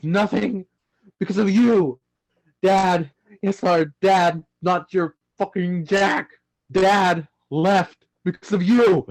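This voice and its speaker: An actor playing an angry, resentful teenage brother in a radio play, with bitter, emphatic short phrases. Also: male voice, high-pitched, a little mellow, no room sound, poor audio.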